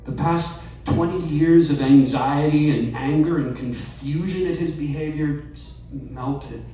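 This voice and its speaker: A man reading a personal essay aloud at a live storytelling night, measured and wry. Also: a little high, mellow, distant mic. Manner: slow, measured delivery with wry asides. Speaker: reader at a live storytelling event. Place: bar or event venue, live audience. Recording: very echoey room, voice off-mic, faint ambience.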